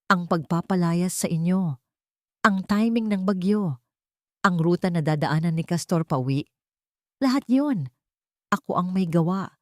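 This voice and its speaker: A woman's voice: lowish voice, lively intonation, unhurried, long pauses, no room sound, clean studio sound. A woman with a Filipino accent voicing a scheming, venomous rich female villain in a dramatic audio storybook. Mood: gloating. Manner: menacing, taunting, calculated.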